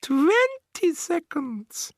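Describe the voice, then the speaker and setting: A towering, shrieking witch queen, put on by a man reading aloud, her voice jumping very high and swooping wildly as she stretches every word. She barks orders at her crowd with cold command. The voice sounds close and dry. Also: big pitch swings, drawn-out words, no echo, exaggerated voice. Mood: gloating countdown. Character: shrill imperious old female witch queen.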